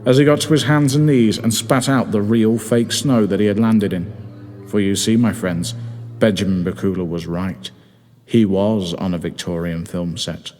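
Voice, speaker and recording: A man's voice: fairly low pitch, fairly even intonation, normal speed, darkish tone. A man reading his own comic story as scripted narration, with a mock-dramatic storytelling manner. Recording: music behind the voice.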